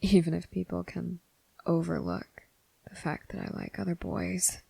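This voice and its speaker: A man playing a gentle, anguished young vampire in an ASMR roleplay, speaking in a hushed, halting way. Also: high voice, fairly even intonation, no room sound, full character voice. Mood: anguished, fearful. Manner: hesitant, halting, breathy, hushed.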